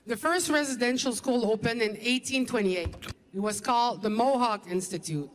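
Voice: mid-range voice, moderate pace. Presenter voice in an echoey hall. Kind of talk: scripted presentation.

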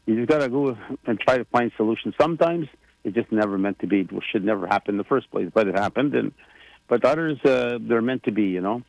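A man in his sixties talks in a loose, rambling way, full of fillers and restarts. His voice has a gravelly, fried edge, and the sound is dry and close. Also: medium pitch, fast, no room sound, low-quality recording. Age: 60s. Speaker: president of a government, radio guest. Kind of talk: casual radio chat.

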